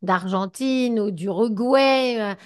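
A woman's voice: on the high side, slow. A woman interviewer putting long, conversational questions to a guest, with some hesitant phrasing.

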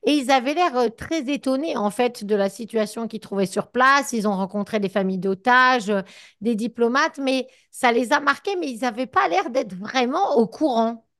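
Slightly high-voiced woman interviewer. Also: expressive, moderate pace, a bit bright. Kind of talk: conversational broadcast interview.

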